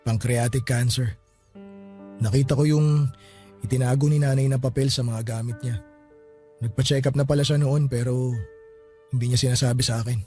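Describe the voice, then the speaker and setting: An actor playing a grieving, guarded and easily frightened son in a Filipino radio drama, speaking colloquially with emotion. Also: male voice, mid-range voice, steady tone, moderate pace, long pauses, warm tone, natural voice. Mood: sorrowful. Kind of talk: Tagalog radio drama acting. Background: background music.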